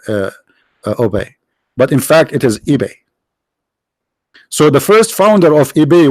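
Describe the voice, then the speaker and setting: Host: man, mid-pitched, first language Arabic, mocking and halting delivery, dry close mic. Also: a little mellow, no room sound. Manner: sarcastic, halting with many fillers, breaks into mock singing. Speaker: live-stream host. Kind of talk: mocking religious debate stream.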